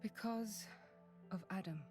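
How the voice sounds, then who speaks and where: Woman voicing a proud, measured warrior woman. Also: mid-range voice, over music, natural voice. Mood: cool, guarded. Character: proud, coolly confident female Imperial warrior. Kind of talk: video game cutscene voice acting.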